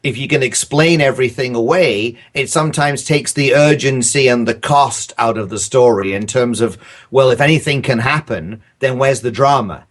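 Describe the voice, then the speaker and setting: A man's voice: medium pitch, moderate pace. Thoughtful man in casual podcast discussion. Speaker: podcast guest, audio drama writer.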